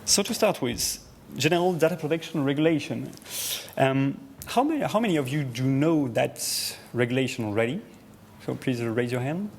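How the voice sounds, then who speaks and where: French-accented man giving a halting presentation. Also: mid-range voice, expressive, a little hiss or hum. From France. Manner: hesitant, frequent "uh" fillers and restarts. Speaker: speaker presenting to an audience. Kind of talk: presentation talk.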